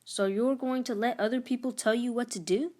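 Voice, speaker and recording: An earnest young prince of middle-school age, read by a woman in a storybook. He is thoughtful and measured, and tends to question what he hears. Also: on the high side, steady tone, dark tone, pitched above the performer's own voice, natural voice. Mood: concerned.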